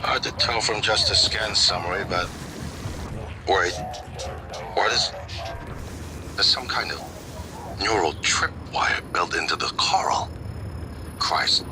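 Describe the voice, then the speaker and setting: An actor playing a composed, scheming male scientist and executive in a video game, speaking in a measured, persuasive way. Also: low voice, music in the background. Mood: urgent. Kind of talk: video game voice acting, radio messages.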